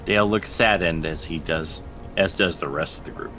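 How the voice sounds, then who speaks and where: A man's voice: moderate pace, bright, forceful tone. A man narrating scripted stage directions for a drama table read. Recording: video-call mic with background rumble.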